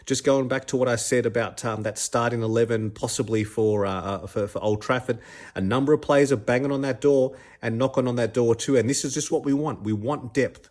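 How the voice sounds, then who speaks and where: A man's voice: medium pitch, fairly quick, a little mellow. A man hosting his own podcast, a little stuffed up with a cold, talking enthusiastically with many restarts and repeated words. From Australia. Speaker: podcast host. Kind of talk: casual solo podcast commentary.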